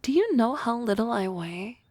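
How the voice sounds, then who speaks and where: A woman voicing an energetic young female harpy classmate in an ASMR roleplay, talking softly and often in a whisper with a teasing manner. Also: fairly high pitch, moderate pace, dry recording. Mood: playful.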